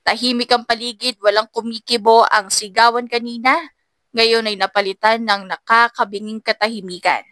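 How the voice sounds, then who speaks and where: Bright, high-voiced woman narrating dramatically. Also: fairly even intonation, normal speed, no echo. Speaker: audiobook narrator. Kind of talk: dramatic scripted story narration.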